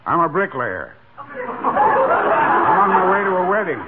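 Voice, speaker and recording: A pompous, streetwise bricklayer. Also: male voice, high voice, wildly swooping pitch, slow, over a noisy crowd, exaggerated voice. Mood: haughty. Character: pompous tough bricklayer, wordy and sarcastic. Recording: tinny old radio broadcast with hiss.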